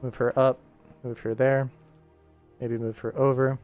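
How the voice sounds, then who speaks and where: A man's voice: medium pitch, flat delivery, measured pace, a little mellow. Male streamer and animator, informal and rambling, thinks aloud as he works, mid-range voice with music underneath. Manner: informal, rambling to himself and viewers. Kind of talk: casual work-stream commentary, thinking aloud.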